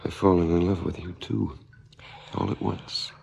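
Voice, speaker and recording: An actor playing a secretive man in love with a shady business, gravelly and terse, in a radio drama. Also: low voice, flat delivery, normal speed, darkish tone, full character voice. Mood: tender, guarded. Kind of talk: 1970s stereo radio drama acting. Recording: noisy radio drama recording.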